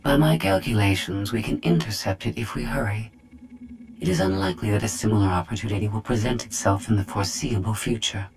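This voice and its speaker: A woman's voice: rumbling low, brisk, a little mellow, slight background noise, rough recording. A woman voicing a cold, superior female droid in a video game, precise and detached, with synthetic processing. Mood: cold, clinical. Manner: precise, detached, clinical, condescending.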